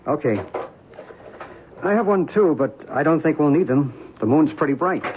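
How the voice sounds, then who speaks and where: Casting: male actor as learned, mystical guide in vintage radio play, measured yet richly varied intonation, faint hiss. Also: on the high side, expressive, lightly acted. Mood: quietly guiding. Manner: measured, assured, explanatory. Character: calm, mystical, knowledgeable male guide to ancient mysteries. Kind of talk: old-time radio drama acting. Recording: tinny, hissy band-limited radio recording.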